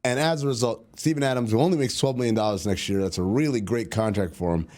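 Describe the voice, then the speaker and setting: A man co-hosting a basketball talk show in a relaxed, joking way. His pitch sits in the middle for a man. He teases his partner, then explains team money matters plainly. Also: quick pace. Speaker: podcast co-host. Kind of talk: casual sports chat.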